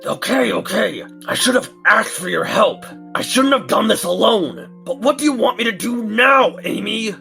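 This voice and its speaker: A man's voice: high-pitched, expressive, moderate pace, creaky, few pauses, sharp tone, faint music behind, heavily acted. A man voicing a brash but caring heroic hedgehog in an audiobook drama, speaking earnestly. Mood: exasperated. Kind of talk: fan audiobook voice acting.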